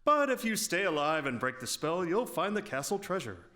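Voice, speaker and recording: A man's voice: a little high, very animated, normal speed, bright, forceful tone. An actor playing a pompous king who issues grand commands in a comic radio play. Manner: pompous, declamatory.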